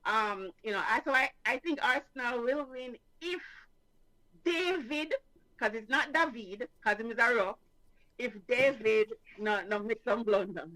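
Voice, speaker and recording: Bright, emphatic Jamaican woman. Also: a little high, measured pace, rough recording. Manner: emphatic, animated, insistent. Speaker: co-host. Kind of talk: casual sports talk show.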